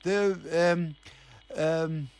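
Higher-voiced, gullible, defensive man. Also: steady tone, extremely slow, a little mellow, smooth. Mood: flustered. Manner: colloquial, impatient. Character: gullible, defensive man tempted by easy money. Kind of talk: naturalistic radio drama dialogue.

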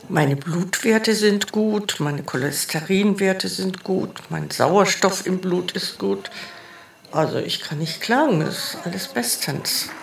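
A woman playing an elderly, trusting pensioner who muses slowly and deliberately in a mock-documentary radio drama. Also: fairly low pitch, creaky, a little hiss or hum. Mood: contented. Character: elderly female pensioner, hesitant, trusting, easily exhausted. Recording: roomy, reverberant indoor sound.

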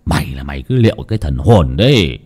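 A man voicing a gruff, teasing middle-aged village clan head in a told story, scolding in an earthy, sly way. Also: low voice, sing-song, normal speed, pitched below the performer's own voice.

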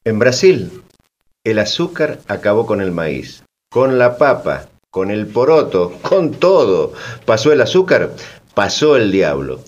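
An actor playing the narrator of a radio drama, telling the story with an emphatic, repeating cadence. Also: male voice, fairly low pitch, dull audio, a little hiss or hum. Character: expressive storyteller-narrator guiding listeners. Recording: music bed behind.

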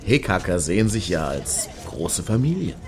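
Cocky low-voiced male clubgoer. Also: sing-song, normal speed. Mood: teasing, conciliatory. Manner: cocky, slangy. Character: brash, horny male clubgoer, eager and cocky. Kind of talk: comic radio play dialogue. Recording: crowd noise behind the voice.

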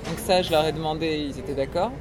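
A woman's voice: deep voice, steady tone, fast talker, over music. A woman musician and radio host giving a casual interview, thinking aloud with many fillers and restarts. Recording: noisy street recording.

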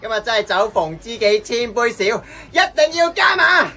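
A man plays a brash, tipsy young man in a radio drama, speaking Hong Kong Cantonese. His voice is bright and very animated, loud and theatrical as he rambles, with music behind. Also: very high voice, moderate pace, heavily acted. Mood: tipsy, boisterous. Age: young adult.

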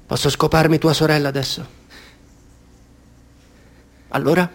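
A gravelly, menacing stranger. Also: male voice, fairly high pitch, steady tone, a little slow. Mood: taunting. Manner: quiet and brooding, turns crude and taunting. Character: menacing male stranger with money, crude and taunting. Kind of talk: radio play acting.

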